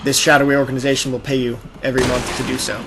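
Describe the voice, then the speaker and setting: A man's voice: mid-range voice, level tone, very fast. Man chatting casually over noisy game audio. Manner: rambling, explanatory, acts out imagined quotes. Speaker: gaming podcast host. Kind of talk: casual chat while playing a video game. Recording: noisy amateur podcast recording with game audio. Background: video game sound.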